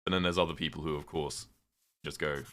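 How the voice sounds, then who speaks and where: A man's voice: rumbling low, fairly even intonation, fairly quick, sharp tone. A young British man chatting casually with his stream chat while playing a game, rambling with lots of fillers. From Britain.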